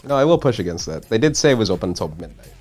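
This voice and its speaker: A man's voice: lowish voice, big pitch swings, rapid-fire, darkish tone, soft background music. A man co-hosting a live-streamed talk show, chatting casually, swearing a lot and filling his talk with "like". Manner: swears often, heavy use of "like". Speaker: podcast co-host. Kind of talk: casual chat.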